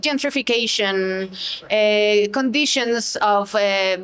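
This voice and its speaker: A woman's voice: mid-range voice, takes it slowly, a bit bright, clear, resonant tone. A woman moderating a panel discussion, speaking hesitantly with many fillers. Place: event hall panel.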